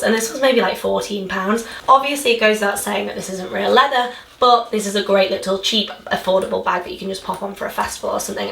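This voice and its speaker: Young woman, husky with some fry, bubbly vlog chat, roomy sound. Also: mid-range voice, a bit bright. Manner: chatty and bubbly. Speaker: fashion vlogger. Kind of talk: casual chat to camera.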